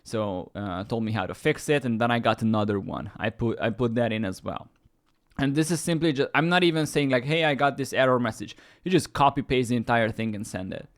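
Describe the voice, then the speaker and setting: Hungarian-native guy doing a casual tech tutorial, mid pitch, lots of uhs and restarts. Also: a little fast. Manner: rambling, many fillers and restarts. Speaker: YouTube tech host. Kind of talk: casual screen-share tutorial.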